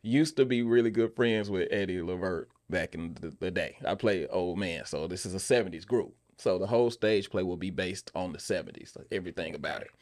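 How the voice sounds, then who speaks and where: A man chatting casually as a podcast guest, telling stories in an animated way and repeating phrases for emphasis. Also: low voice, a little fast, few pauses, no echo, studio quality. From Memphis, USA. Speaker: podcast guest, actor and stage playwright. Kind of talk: casual conversational interview talk.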